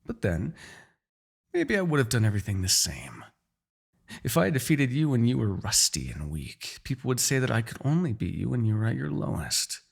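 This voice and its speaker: Casting: male actor for a villainous demon king, gravelly and wildly swinging in pitch, seductive, taunting, theatrical fantasy roleplay. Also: big pitch swings, heavily acted. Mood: smug, taunting. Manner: theatrical, taunting, drawn-out dramatic delivery. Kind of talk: scripted fantasy ASMR roleplay acting.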